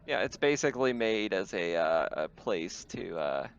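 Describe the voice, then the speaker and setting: A man talking casually as game master, explaining things in a halting way over dull, noisy voice-chat audio. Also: sits low, animated, clear, resonant tone. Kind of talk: casual tabletop game talk.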